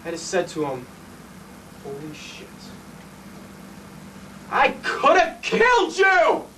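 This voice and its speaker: A man's voice: high-pitched, lively intonation, a little fast, recorded from a distance, a little hiss or hum, poor audio. An actor playing an intense city fireman and former cop, blunt and profane in a dramatic stage monologue. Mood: agitated, intense. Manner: profane, blunt, colloquial storytelling.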